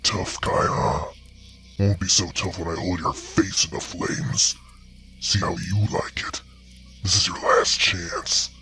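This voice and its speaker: A ragged old bear, put on by a man, snarls threats in a rough, raspy growl. The voice sits very low yet has a bright, cutting edge. Faint music plays underneath. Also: steady tone, slow, full character voice. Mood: threatening. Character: old ragged male bear, angry and menacing.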